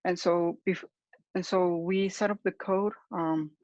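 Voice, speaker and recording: A man's voice: sits high, fairly even intonation, darkish tone, no echo. A young man giving a hesitant, explanatory student presentation over a lo-fi video call. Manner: hesitant, halting. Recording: dull lo-fi call audio.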